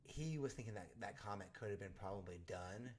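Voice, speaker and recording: A man hosting a casual livestream, pausing meeting footage to comment on it in a chatty, joking way. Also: fairly low pitch, lively intonation. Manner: chatty, joking, fast asides. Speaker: livestream host.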